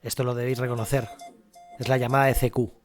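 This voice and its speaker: A man's voice: mid-range voice, little pitch movement, fast talker. Spanish man hosting a podcast, music behind. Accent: Spain Spanish. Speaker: podcast host. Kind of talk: conversational amateur radio podcast presenting.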